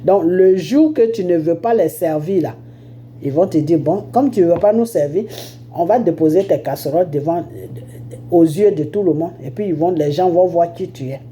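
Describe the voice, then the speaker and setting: A woman's voice: on the low side, normal speed, dark tone, a little hiss or hum. A woman with an Ivorian accent and a head cold, giving an informal political monologue as a storyteller who punctuates it with rhetorical questions and check-ins. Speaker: online political commentator.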